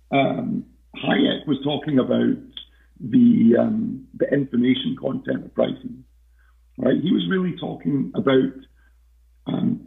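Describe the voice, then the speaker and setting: A man as a podcast guest in casual interview talk, hesitant with many fillers and restarts, his voice croaky. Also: mid-range voice. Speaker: podcast guest, investment researcher. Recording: tinny phone-like line.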